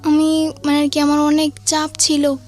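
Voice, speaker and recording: A woman's voice: high-pitched, completely flat delivery, normal speed, a little mellow, soft background music. A schoolgirl with a Bangladeshi accent answering an interviewer's questions in brief, reserved replies. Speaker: young guest answering questions.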